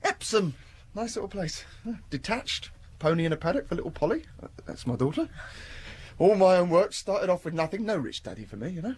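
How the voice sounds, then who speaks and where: Husky, jittery, blustering British patient. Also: male voice, sits high, sing-song, darkish tone, heavily acted. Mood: boastful. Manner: jittery, insistent, halting, blustering. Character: nervous, boastful self-made man dreading the dentist. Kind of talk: radio drama acting.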